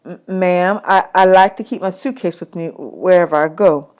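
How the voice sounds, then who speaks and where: A woman's voice: lowish voice, moderate pace, darkish tone, close to an everyday voice. A woman voicing a polite, earnest young orphan boy in a storybook, in a plain folksy manner. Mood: anxious, polite.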